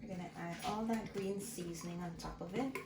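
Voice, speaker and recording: A woman hosting a cooking channel, explaining each step in a slow, friendly way from her home kitchen. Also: lowish voice, fairly even intonation, heavy background noise. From Guyana. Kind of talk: casual cooking tutorial. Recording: echoey kitchen with clattering pots.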